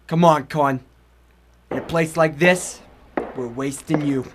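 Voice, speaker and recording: An actor playing a defiant, callous boxer with a clipped, dismissive manner in a noir radio drama. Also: male voice, fairly high pitch, fairly even intonation, takes it slowly. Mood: cold, defiant. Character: curt, defiant male boxer, a callous tough guy.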